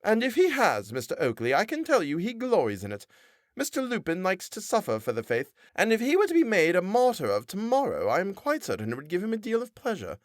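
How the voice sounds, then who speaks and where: A man's voice: wildly swooping pitch, brisk, no echo, clean studio sound. A man reading a novel aloud, voicing a nagging, pious middle-aged wife with a nasal twang and a scolding manner. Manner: shrill, scolding, self-righteous. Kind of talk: audiobook character voice.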